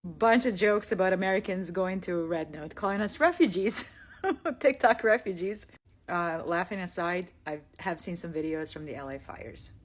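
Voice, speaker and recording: A woman's voice: fairly low pitch, normal speed. Chatty woman walking through her feed. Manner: chatty, amused. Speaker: social media creator. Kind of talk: casual app walkthrough.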